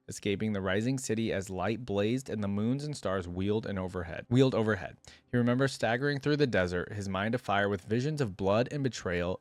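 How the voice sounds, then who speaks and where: A man with a fairly low voice reads a dramatic tale aloud in a measured, solemn way. He is a reaction host, so the delivery can slip into a casual register. The sound is dry, with very little room. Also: no echo. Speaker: reaction video host reading a story aloud. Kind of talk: dramatic story reading with casual asides.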